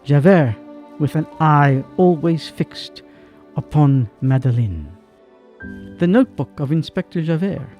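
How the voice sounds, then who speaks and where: A man's voice: a little high, animated, a little slow, mellow, faint music behind. A man narrating a radio drama in a grave, emphatic way that swells on key words.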